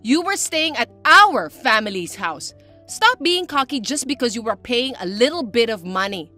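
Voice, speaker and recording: A woman voicing a bratty, spiteful young stepsister who talks in a shrill, mocking, bossy way. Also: very animated, normal speed, pushed and bright, soft background music. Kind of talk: voiced text-message drama.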